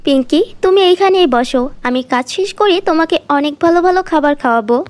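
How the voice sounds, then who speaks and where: Woman playing big sister orphan girl in a cartoon, high earnest pleading voice, caring. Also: animated, brisk, dry recording. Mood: earnest, proud. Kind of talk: cartoon fairy tale dialogue.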